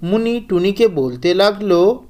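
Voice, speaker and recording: A man's voice: high voice, lively intonation, unhurried, darkish tone. A man reading scripted narration for a children's cartoon story.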